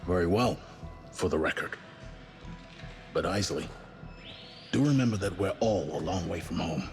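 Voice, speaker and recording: An actor playing a middle-aged spaceship commander in a game, measured, formal and encouraging with his crew. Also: low voice, expressive, darkish tone. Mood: gently firm. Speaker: mission commander. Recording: long hall reverb, faint music behind.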